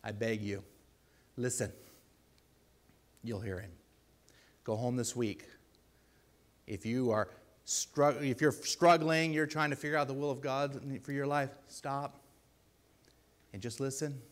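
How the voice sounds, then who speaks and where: A man with a deep voice preaching a sermon in a church, earnest and pleading, with loud emphatic bursts. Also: steady tone, takes it slowly, long pauses. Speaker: lay preacher.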